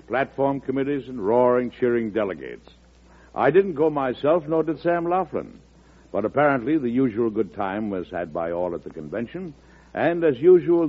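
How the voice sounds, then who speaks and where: An actor playing a shrewd, hot-tempered older president in a 1940s radio drama, emphatic and lecturing. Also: male voice, medium pitch, natural voice. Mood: wry narrating. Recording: muffled, worn old radio recording.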